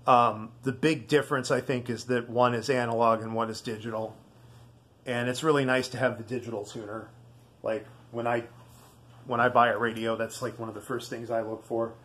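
A man giving a casual product demonstration in a halting, rambling, easygoing way. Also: mid-range voice, little pitch movement, fairly bright tone. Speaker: video host.

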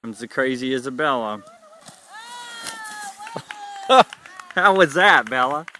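A woman's voice: a bass voice, expressive, slow, bright, forceful tone, a little hiss or hum. A woman filming outdoors on a farm lawn who teases and cheers on children in a playful voice. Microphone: handheld home video camera.

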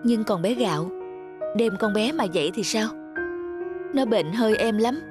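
A woman voicing a soft, weary young wife and new mother in a cartoon. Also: medium pitch, expressive, moderate pace, over music, close to an everyday voice. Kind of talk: cartoon voice acting.

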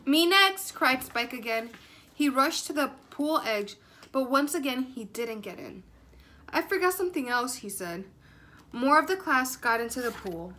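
A woman's voice: on the high side, unhurried, bright, forceful tone. A woman in her twenties reading a children's story aloud with expression. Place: room at home, indoors.

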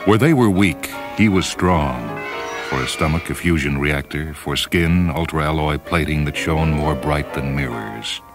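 A man reading a story as a radio host, in a measured, solemn, declamatory dramatic style. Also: rumbling low. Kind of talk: dramatic scripted storytelling narration. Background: orchestral and piano music.

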